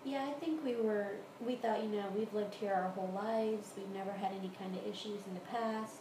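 An American woman speaks casually as an interviewee, recounting things from her own life. Her pitch sits in the middle of the range. The recording is made in a home, with a roomy echo and clear background noise. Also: steady tone, a little fast. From Houston area, Texas, United States. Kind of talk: casual interview answers, recounting personal experience. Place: room in a home.

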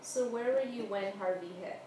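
A woman acts as interviewer, putting prepared questions in an oral history style. Her voice is average in pitch. She is recorded in a roomy, echoing space with noticeable background noise. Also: moderate pace, mellow. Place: room in interviewee's home.

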